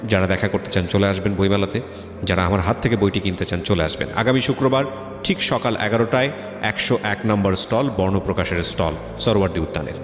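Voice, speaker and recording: Radio host: man, Bangladeshi accent, lowish pitch, fast conversational delivery, heavy echo. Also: slight background noise. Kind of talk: conversational radio interviewing and addressing listeners. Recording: echoey hall-like reverb, faint music.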